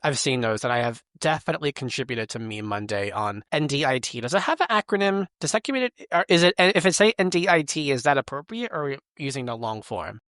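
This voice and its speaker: A man's voice: mid-range voice, lively intonation, quick pace, few pauses, fairly bright tone, no echo. Curious male podcast host, dry sound. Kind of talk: podcast hosting, scripted intro then casual chat.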